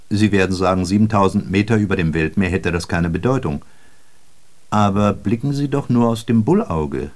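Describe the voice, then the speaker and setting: A man's voice: low-pitched, darkish tone, close to an everyday voice. An actor playing a world-weary, brooding traveling salesman who narrates a literary radio play, addressing the listener directly in a rhetorical, declamatory way. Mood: melancholy, pedantic.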